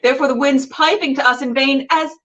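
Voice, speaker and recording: A woman playing an aggrieved fairy queen in a measured, declamatory Shakespearean monologue reading. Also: high-pitched, fairly even intonation, a bit bright. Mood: reproachful. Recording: distant camera microphone.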